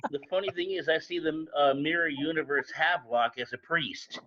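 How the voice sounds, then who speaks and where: A man bantering casually on a cast panel in an animated, exclamatory way. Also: on the high side, a little fast. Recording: dull lo-fi call audio.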